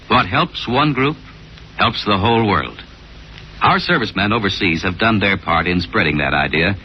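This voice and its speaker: An American man reading a scripted public service message as a radio announcer, earnest and measured. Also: lowish voice. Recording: dull 1940s radio recording, faint noise.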